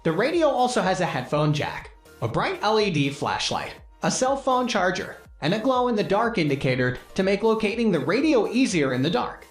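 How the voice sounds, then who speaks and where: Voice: medium pitch, sing-song, moderate pace, reverberant. A narrator of unclear sex reading a scripted product review in a formal way, listing features and specifications. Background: music.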